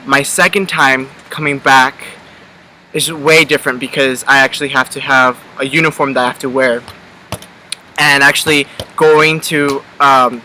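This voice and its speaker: A man's voice: mid-range voice, sharp tone, slight background noise, poor audio. An eighteen-year-old man from Connecticut chatting casually as an exchange student vlogger, rambling with many ums and restarts. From Connecticut, USA. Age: teens. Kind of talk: casual chat.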